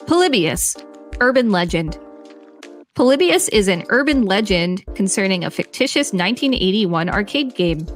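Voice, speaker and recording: An upbeat woman co-host reads an article aloud, picking up energy on lists. Her pitch sits mid-range for a woman. Faint music plays underneath. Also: very animated, moderate pace. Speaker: podcast co-host reading articles. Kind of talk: reading aloud with banter.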